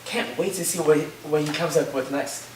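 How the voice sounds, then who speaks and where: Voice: medium pitch, a little fast, lightly acted. An actor playing an easygoing, enthusiastic college student in a staged play reading, casual and reassuring. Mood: casual, curious. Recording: echoey room from far off, noisy.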